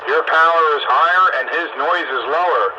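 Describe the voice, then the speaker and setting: Higher-voiced man on a noisy radio. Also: fairly quick, lo-fi sound. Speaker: amateur radio operator on a group net. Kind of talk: casual radio banter. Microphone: two-way radio microphone. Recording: noisy amateur radio transmission.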